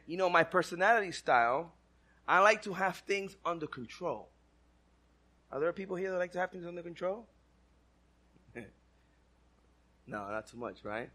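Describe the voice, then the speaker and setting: Dominican-accented guy giving a chatty sermon, kinda high bright voice, jokes at himself. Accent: Dominican Spanish-accented English. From Dominican Republic. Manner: conversational, asks the crowd questions, self-deprecating humor. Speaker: church speaker. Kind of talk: informal sermon to a congregation.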